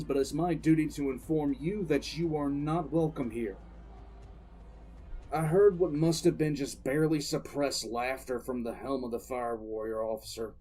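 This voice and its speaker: A battle-hardened military commissar, played by a man narrating a sci-fi audio drama. His voice sits at a middle pitch. He tells it deliberately, with grim contempt and stubborn defiance. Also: dark tone, lightly acted, low-quality recording. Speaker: narrator and lead character.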